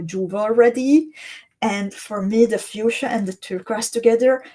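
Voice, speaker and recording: A woman talks slowly and unhurriedly as she hosts a live craft stream. Her voice is mellow and soft-edged, and she is chatty and descriptive, greeting her viewers by name. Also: mid-range voice. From Hungary or Slovakia. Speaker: host of a beading livestream. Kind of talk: live-stream chat, showing beads and reading viewer comments.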